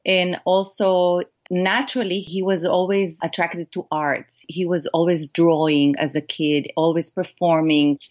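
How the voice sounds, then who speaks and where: A woman with an Israeli accent, a documentary filmmaker answering interview questions in earnest, animated storytelling. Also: fairly low pitch, measured pace, few pauses, muffled recording.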